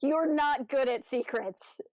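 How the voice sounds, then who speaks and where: A woman's voice: high voice, takes it slowly, a crowd in the background. A woman co-hosting a podcast in casual fan discussion, phrasing hesitantly with lots of "like". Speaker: podcast co-host.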